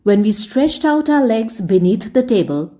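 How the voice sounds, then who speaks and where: A woman storyteller reading a story aloud in a measured, expressive, audiobook-style narration. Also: fairly high pitch.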